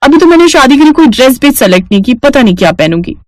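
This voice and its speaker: A woman's voice: sits high, sing-song, quick pace, no room sound. A woman playing a reluctant, fretful young city daughter-in-law who complains, in a cartoon family story.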